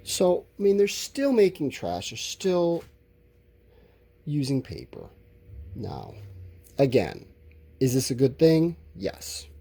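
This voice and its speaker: A man's voice: a little high, huge pitch swings, slow pace, creaky, long pauses, dark tone, husky. A man teaching a school lesson, talking informally and explaining as he writes out answers, drawing out his words. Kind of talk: instructional lesson, thinking aloud while writing answers.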